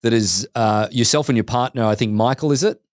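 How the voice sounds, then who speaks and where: A man hosting a podcast interview, eager and quick, often repeating words as he starts a sentence. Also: mid-range voice, dry recording, studio quality. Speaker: podcast host.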